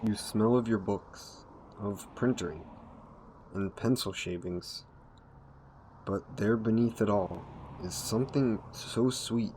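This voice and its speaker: A man's voice: lowish voice, slight background noise. A man playing a courtly, seductive vampire in an intimate roleplay audio, speaking formally and coaxingly to the listener. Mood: seductive, soothing. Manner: formal, gentlemanly, coaxing, unhurried. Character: courtly, seductive male vampire, hungry and predatory.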